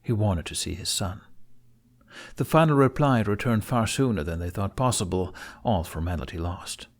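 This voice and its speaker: A man's voice: fairly low pitch, animated, normal speed. A man reading a fantasy story aloud as dramatic scripted audiobook narration.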